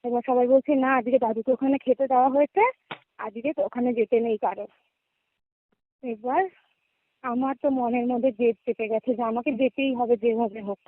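High-pitched young Bengali woman on a phone line. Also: fairly even intonation, normal speed, dry recording. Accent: West Bengal Bengali. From West Bengal, India. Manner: conversational, checks in with listener often. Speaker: guest caller. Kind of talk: casual personal storytelling. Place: remote call, dry sound. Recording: narrow tinny phone-call audio.

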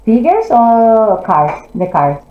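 A woman teaching English to children, encouraging them and gently correcting their answers in an interactive classroom lesson. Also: on the high side, sing-song, slow. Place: classroom, indoors. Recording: roomy, slightly dull classroom audio.

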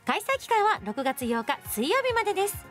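A woman radio presenter with a high, animated voice. She speaks in an easy hosting style over music. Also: fairly quick. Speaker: radio show host. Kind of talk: casual chat and scripted announcements. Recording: music behind the voice.

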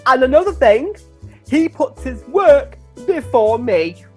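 A man's voice: very high voice, animated, slow, darkish tone, soft background music, exaggerated voice. A British man playing a camp, petulant superhero sidekick in a comic radio play, theatrical and huffy. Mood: aggrieved, bitter. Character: camp, petulant young male superhero sidekick, aggrieved partner.

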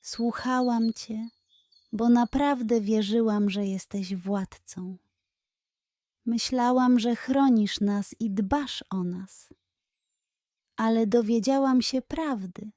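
A young female pig from a children's tale, acted by a woman. She speaks slowly and earnestly in a fairly high voice, with a quiet craftiness under the sweetness. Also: no echo, studio quality. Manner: measured, earnest, gently reproachful. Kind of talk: children's storybook character voice.

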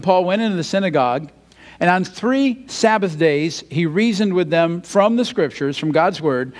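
An older man, around his sixties, preaches from the stage of a large church hall with a fairly high voice. He reads scripture aloud and builds to emphatic, indignant points as he paces. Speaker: pastor. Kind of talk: sermon.

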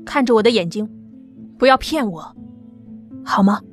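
A woman's voice: a little high, lively intonation, moderate pace, soft background music, lightly acted. A woman voicing an anxious young woman who presses and pleads, in an audio drama. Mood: worried.

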